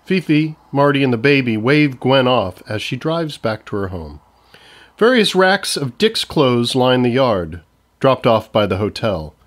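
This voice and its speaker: A man's voice: mid-range voice, big pitch swings, a little slow. A man giving scripted narration, reading out stage directions for an audio comedy.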